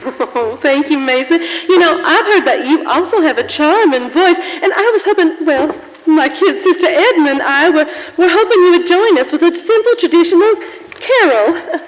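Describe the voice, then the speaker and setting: High-voiced woman in an echoey hall, acted. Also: lively intonation, moderate pace. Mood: bright, chatty. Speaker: actress and carol singer in a variety show. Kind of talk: radio drama acting on stage.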